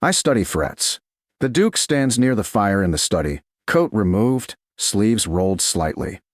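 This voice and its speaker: A man giving measured, dramatic scripted narration of a storybook romance. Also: lowish voice, expressive, dry recording, clean studio sound.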